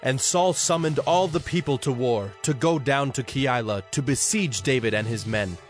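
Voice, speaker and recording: Casting: male Bible narrator, mid-pitched, formal and measured, music bed underneath.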